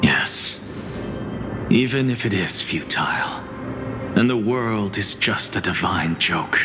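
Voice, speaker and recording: An actor playing a grave, reproachful man in a video game, speaking solemnly and deliberately. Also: lowish voice, lively intonation, big-room sound, music in the background. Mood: resigned. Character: grave, reproachful man, calmly challenging a fallen savior. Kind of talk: dramatic video game acting.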